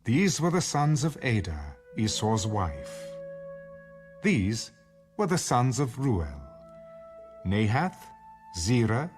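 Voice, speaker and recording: A man giving a formal, measured scripted narration of scripture, as in an audio Bible. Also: medium pitch, big pitch swings, darkish tone. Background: background music.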